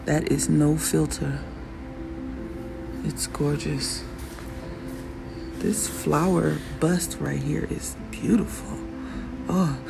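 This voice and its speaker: A raspy woman vlogging casually about her own work, admiring it out loud and laughing often. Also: low-pitched, poor audio. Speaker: fashion designer vlogger. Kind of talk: casual show-and-tell vlog. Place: workshop in Arizona. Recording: music playing behind the voice.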